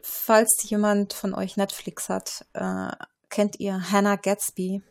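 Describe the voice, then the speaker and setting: A woman's voice: medium pitch, flat delivery, slow, creaky. A woman in a casual panel discussion, speaking earnestly with some fillers.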